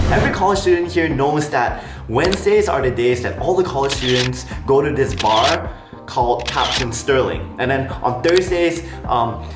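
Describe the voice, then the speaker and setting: A young man from Malaysia talks to camera in a slight American accent, chatty and frank, with lots of "like" and "honestly." His voice sits in an ordinary middle pitch. The room has a noticeable echo, and music plays clearly behind him. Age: young adult. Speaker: vlogger, international student. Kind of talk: casual talk to camera.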